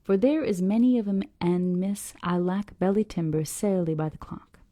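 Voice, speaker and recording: A woman's voice: mid-range voice, normal speed, mellow, no echo, studio quality, close to an everyday voice. A woman voicing a brusque, skeptical old sailor of nearly a hundred in a storybook, with an American Southern accent and folksy dialect. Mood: grumbling. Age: nearly 100. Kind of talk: acted storybook dialogue.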